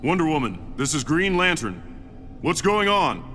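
An actor playing a determined, distrustful superhero in a video game, speaking in a brisk, blunt, heroic way. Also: male voice, fairly high pitch, sing-song, bright, forceful tone. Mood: alert, demanding. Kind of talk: video game cutscene acting. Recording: faint game music behind voice.

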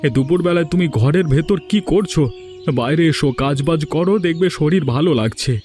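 A man's voice: a little high, brisk, a little mellow, close to an everyday voice. A man voicing a dutiful, reassuring grown village son in a cartoon. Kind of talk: Bengali cartoon dialogue.

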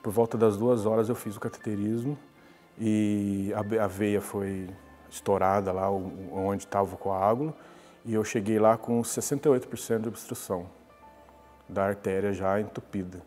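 A man's voice: on the low side, fairly even intonation, normal speed, darkish tone, in a large hall, faint music behind. A man, recounting a personal story in a conversational interview. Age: 40s. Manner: conversational storytelling, with many restarts. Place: glass-walled lobby, indoors.

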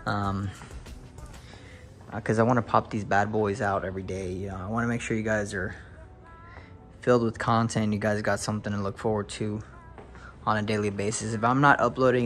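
A young man with a fairly low voice narrates a walkthrough of a big empty house, recorded on a handheld phone. He talks casually to his viewers, full of fillers and excited exclamations. Faint music plays under him. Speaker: real estate video host. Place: inside a large empty house.

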